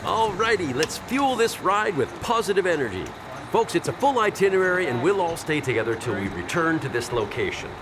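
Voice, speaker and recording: An actor playing a jovial, folksy veteran tour guide who loves corny dad jokes, in an audio drama. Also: male voice, on the high side, sing-song, a little fast, background noise. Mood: upbeat. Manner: jovial, folksy showman, addresses the group as folks.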